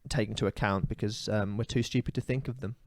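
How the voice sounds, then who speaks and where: A man's voice: fairly low pitch, fairly even intonation, fast talker. A man co-hosting a casual comedy podcast, giving strong opinions in a rambling, ranting way with many fillers. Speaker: podcast co-host.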